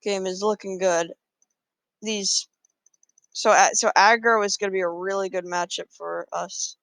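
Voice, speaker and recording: A man's voice: high-pitched, steady tone, unhurried, creaky, pushed and bright, smooth, dry recording. A man casually talking through his moves in a card game, thinking aloud with frequent fillers. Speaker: gameplay commentator.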